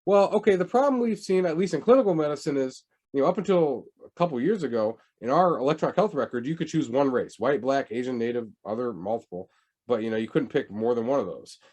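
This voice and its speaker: Animated man giving a casual lecture. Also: mid-range voice, quick pace. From United States. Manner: lively, conversational, asks rhetorical questions. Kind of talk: recorded public health lecture.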